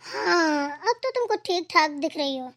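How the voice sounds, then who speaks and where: A woman voices a dutiful elder daughter-in-law in a cartoon village family. Her voice is extremely high. She talks gently, placating everyone and offering to help. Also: animated, a little slow, full character voice. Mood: obliging. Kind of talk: cartoon family drama dialogue.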